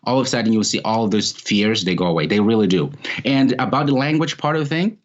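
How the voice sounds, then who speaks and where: A fairly low-voiced man from Mozambique, talking in English, which is not his first language, as an interview guest. He speaks in an animated, repetitive way and keeps checking in with "right?". The recording sounds dull and lo-fi. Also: quick pace. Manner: animated, repeats phrases, lots of "right?". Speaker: guest, mobile developer. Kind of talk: casual interview chat.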